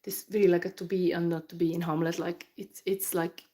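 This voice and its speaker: A woman's voice: fairly low pitch, flat delivery, brisk, warm tone. A woman from Finland with a Finnish accent, giving a live tarot reading while down with the flu, rambling with fillers and restarts and sometimes dropping into a whisper. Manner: rambling, thinking aloud with many fillers and restarts; drops into whispers while channeling. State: has the flu. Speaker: tarot reader.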